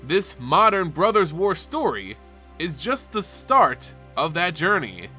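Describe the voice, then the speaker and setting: A man's voice: a little high, very animated, takes it slowly, pushed and bright. A man hosting and narrating a fantasy lore show, reading a script in a dramatic, emphatic storytelling manner. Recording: background music under the voice. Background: music.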